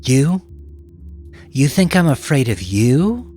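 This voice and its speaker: Man voicing a brash, world-weary woman, pitched up. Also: big pitch swings, slow, a little mellow, faint music behind. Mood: mocking. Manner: scornful, brash, mocking. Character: hard-bitten, defiant, world-weary middle-aged woman sex worker. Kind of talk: audiobook character voice.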